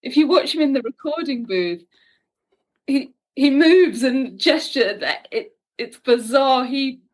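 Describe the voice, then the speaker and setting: A woman's voice: high voice, lively intonation, a little slow, poor audio. A woman chatting casually as a writer guest in an interview. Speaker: guest, audio drama writer.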